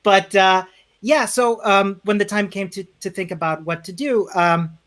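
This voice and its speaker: A man's voice: sits high, measured pace, fairly bright tone. A man from Chile with a Chilean accent, a developer guest talking through his career in a casual streamed interview, self-deprecating and full of restarts.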